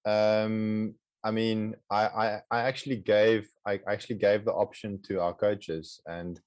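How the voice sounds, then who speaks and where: A low-pitched man with an Afrikaans accent answers interview questions in a halting way, repeating words as he restarts. His voice sits very deep. The sound is dry and close. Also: little pitch movement, a little mellow, no room sound. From South Africa. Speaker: guest, project co-founder. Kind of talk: casual interview conversation.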